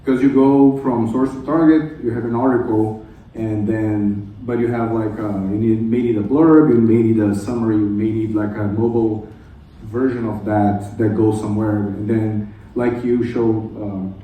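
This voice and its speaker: A man who is a native Portuguese speaker, talking informally and haltingly on a conference panel. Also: on the low side, steady tone, narrow, tinny audio, slight background noise. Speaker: conference panelist, translation technology professional. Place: indoor conference room. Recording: echoey conference room, distant mic.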